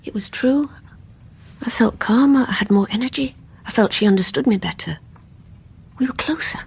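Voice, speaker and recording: An actress playing a troubled housewife in a radio play, confessing obsessive secrets in an intimate, brittle, insistent way that drops to hushed tones. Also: female voice, fairly high pitch, moderate pace, vocal fry, a little hiss or hum. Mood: brooding, confiding. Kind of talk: dramatic radio play acting with confessional narration.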